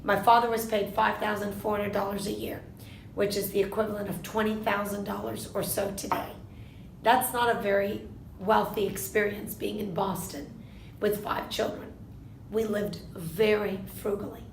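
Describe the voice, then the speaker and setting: A woman in her fifties, born in Argentina, answering interview questions in a measured way, often posing a question to herself and then answering it. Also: mid-range voice, fairly even intonation, gravelly, roomy sound, a little hiss or hum, low-quality recording. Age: 50s. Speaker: interviewee, lawyer.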